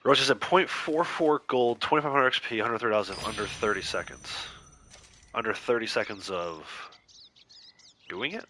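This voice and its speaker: A man with a lowish voice and a bright, forceful edge chats casually with viewers while playing a game. A cold leaves him congested and slightly nasal. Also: moderate pace, a little hiss or hum. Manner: chatty, reads out and answers viewer chat, laughs easily. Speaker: game streamer.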